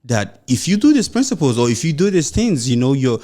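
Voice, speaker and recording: A man's voice: medium pitch, big pitch swings, a little fast, dark tone. A young adult man with a Nigerian accent and a mild stammer, hosting a solo motivational podcast in an earnest, encouraging way. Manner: earnest, encouraging, loose run-on phrasing with many "you know"s. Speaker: podcast host.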